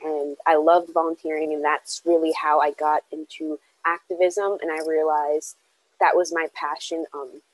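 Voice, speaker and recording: A young woman speaks in a notably low voice, earnest and a little halting, with ums and restarts as she answers. The sound is dry and close. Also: flat delivery, dry recording, poor audio. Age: young adult. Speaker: interview guest. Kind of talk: casual interview answers.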